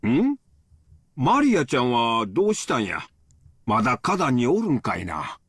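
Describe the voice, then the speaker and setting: Husky kansai uncle in an anime game, talks fast, really bouncy. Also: male voice, mid-range voice, huge pitch swings, a bit bright, no echo, clean studio sound, full character voice. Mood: curious. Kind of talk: Japanese visual novel voice acting.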